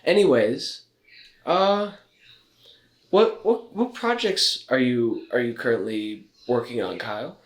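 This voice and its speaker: A man's voice: mid-range voice, animated, slow pace. Young man, podcast co-host, casual chat, dry self-mocking humor, roomy echo. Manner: dry, joking self-mockery. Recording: roomy, echoey room.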